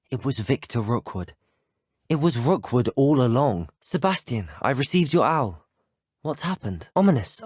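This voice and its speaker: A man's voice: medium pitch, darkish tone, no echo, clean studio sound, close to an everyday voice. A young man with a British accent playing an earnest, courteous student wizard in a video game. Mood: grave, urgent. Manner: courteous, well-spoken, sincere.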